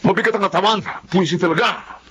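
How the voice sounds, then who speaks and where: A man playing a hot-tempered, bossy gang-leader burglar in a gruff manner, in a Filipino radio drama. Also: high-pitched, fast talker, pushed and bright. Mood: angry, impatient. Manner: gruff, bossy, easily angered. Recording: dull lo-fi audio with faint noise.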